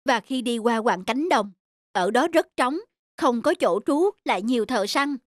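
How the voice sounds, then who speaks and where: An actor voicing a gentle, cautious female wild duck in a children's cartoon fable, explaining things patiently. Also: medium pitch, animated, normal speed, natural voice. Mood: careful, instructing.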